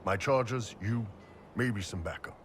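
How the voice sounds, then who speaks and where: A man plays a big horned warrior from a fantasy video game. He is gruff and laid-back, with a low voice and blunt, casual talk. There is some noise behind him. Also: measured pace, close to an everyday voice. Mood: matter-of-fact.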